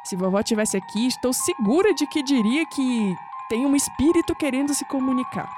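An actress playing a guarded, teasing young woman researcher with a Brazilian accent in an audio drama, quick with sceptical retorts. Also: sits high, lively intonation, over music. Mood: uneasy.

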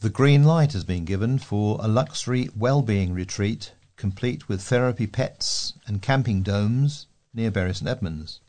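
A man's voice: on the low side, measured pace, few pauses, a little mellow. A man with a British accent reads local news articles aloud as a volunteer newsreader.

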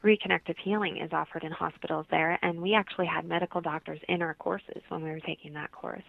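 A woman's voice: fairly low pitch, steady tone, fast talker, gravelly, no room sound. A woman guest in a radio interview, speaking conversationally as a healer and explaining things earnestly. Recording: tinny phone line.

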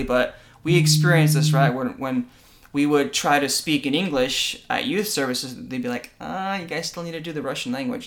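A man's voice: medium pitch, a bit bright. A man co-hosting a podcast, explaining faith and church tradition in an earnest conversational way, often checking in with "right?". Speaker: podcast co-host.

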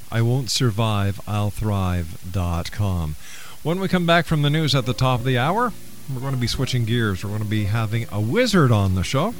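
A man hosting a radio talk show, speaking in a deliberate, earnest way. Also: medium pitch. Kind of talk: radio interview and show announcements.

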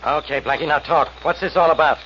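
Casting: male actor for gruff, sarcastic American cop, highish rapid voice, old radio crime show, faint hiss. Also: close to an everyday voice. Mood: grumbling. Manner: blustery, impatient, sarcastic. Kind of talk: 1940s radio acting. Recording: muffled, noisy old radio recording.

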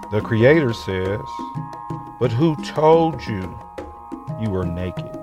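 A man delivers a spiritual meditation talk in a very deep voice. He speaks slowly and deliberately, with long pauses between phrases. Music with a wood block plays clearly behind him. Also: expressive.

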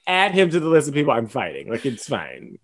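A man's voice: mid-range voice, wildly swooping pitch, fairly quick, fairly bright tone, slightly rough. A man chatting casually about gossip in a slangy, campy, exclamatory way, stressing and repeating words for dramatic effect.

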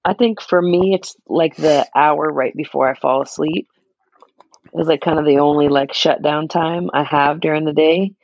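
A young man with a naturally raspy voice, chatting casually as a podcast co-host, laid-back and quick to laugh. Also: a little high, fairly even intonation, no room sound, dull audio. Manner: laid-back, laughs often, many fillers. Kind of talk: casual chat.

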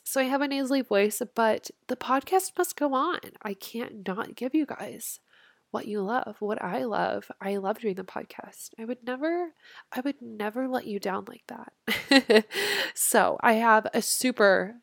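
A woman's voice: on the high side, animated, unhurried, creaky, dry recording, clean studio sound. A young woman in her twenties hosting her podcast, sounding nasal and stuffy from a cold, chatting in a bubbly, casual way and swearing freely. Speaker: podcast host and manifestation coach. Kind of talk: casual solo podcast chat.

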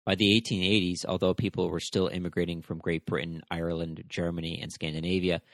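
Low-voiced man narrating history. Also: level tone, a bit bright, no echo, clean studio sound. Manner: measured, explanatory reading. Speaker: podcast host.

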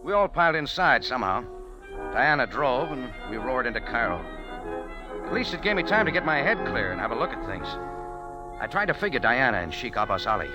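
A man's voice: mid-range voice, very animated, highs cut off, over music, natural voice. A man playing a hard-boiled wisecracking narrator. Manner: tough, wry, quick wisecracks. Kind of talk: 1940s radio acting and first-person narration.

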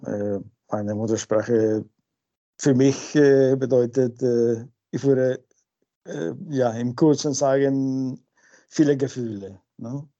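A man from Peru, a native Spanish speaker with a Peruvian Spanish accent, answering interview questions informally and haltingly, searching for words. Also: mid-range voice, fairly even intonation, warm tone. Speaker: interview guest. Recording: dull lo-fi sound.

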